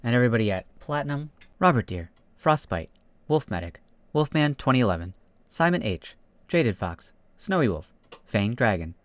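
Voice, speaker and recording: A man's voice: medium pitch, no echo. A man hosting a YouTube video, speaking in an informal, self-deprecating way as he narrates and chats. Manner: informal, self-deprecating, animated when reading. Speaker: YouTube host reading fan fiction. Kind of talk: casual YouTube narration and chat.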